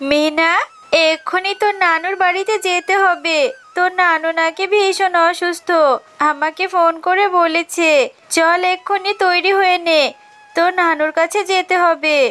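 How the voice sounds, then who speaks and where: A woman voicing a caring, anxious mother fox in a children's animated fairy tale, pleading and gently admonishing. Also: very high-pitched, unhurried, full character voice. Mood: caring, instructing.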